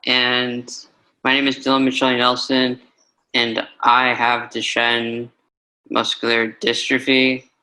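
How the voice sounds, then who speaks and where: A nineteen-year-old man introducing himself on a webinar panel, very halting with long pauses. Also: mid-range voice, monotone, pushed and bright, poor audio.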